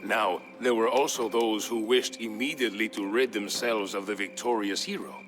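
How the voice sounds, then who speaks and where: A man reading a scripted historical tale as a storyteller, in a measured, solemn manner. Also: lowish voice, fairly even intonation, faint music behind. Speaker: narrator of a game campaign storyline. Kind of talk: scripted dramatic storytelling narration.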